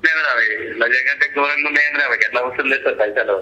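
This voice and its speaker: Sharp, fast man on a phone line. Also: medium pitch, fairly even intonation. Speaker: friend being pranked on the phone. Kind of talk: casual phone chat. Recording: dull phone call, faint music.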